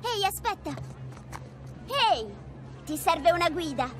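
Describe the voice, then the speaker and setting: High-voiced cheeky little street girl. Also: sing-song, a little slow, music in the background, exaggerated voice. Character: bold young Indian street girl, cheeky little hustler. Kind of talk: video game voice acting. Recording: noisy busy market backdrop.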